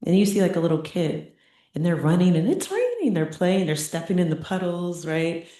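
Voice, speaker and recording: A woman with a low, dusky voice gives a relaxed, chatty meditation teaching. She keeps checking in with her listeners and laughs lightly between points. The sound rings around a very echoey room. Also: moderate pace. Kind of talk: conversational dharma talk.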